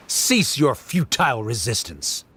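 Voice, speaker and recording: An actor playing a stern, condescending academy scholar in a video game, formal and lecturing in manner. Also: male voice, mid-range voice, sing-song, slow, background noise. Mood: stern, dismissive.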